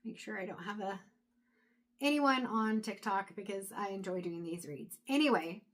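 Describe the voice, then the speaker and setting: A woman reads tarot cards aloud in a relaxed, chatty way, talking through her thoughts with fillers and restarts. Her voice sits at an ordinary middle pitch but is wearing thin and hoarse. She pauses now and then to clear her throat. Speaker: tarot reader. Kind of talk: casual intuitive tarot reading to camera.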